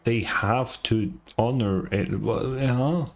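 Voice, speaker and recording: Slow-talking man streaming a game. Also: medium pitch, creaky. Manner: muttering, hesitant, thinking aloud. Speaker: gaming streamer. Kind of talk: casual playthrough reaction commentary. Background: game music.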